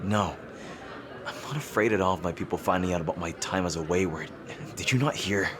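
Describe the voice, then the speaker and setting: A man playing a guarded, flustered, gently teasing rival fantasy king in an intimate roleplay audio drama, speaking hesitantly. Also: low-pitched, noisy background, lightly acted. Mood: defensive. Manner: hesitant, halting.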